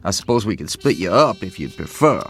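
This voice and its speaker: A man's voice: lowish voice, big pitch swings, fairly quick, faint music behind. Brusque, irritable father. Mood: uneasy, blunt. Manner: brusque, exasperated. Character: irritable, work-absorbed father of two children. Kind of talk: classic television drama acting.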